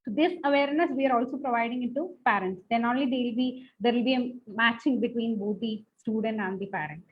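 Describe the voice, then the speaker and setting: Woman teacher chatting on a panel, fairly high voice, muffled lo-fi audio. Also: fast, slightly rough. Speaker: panelist, college technology teacher. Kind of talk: conversational explanation on a panel. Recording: dull lo-fi sound, faint noise.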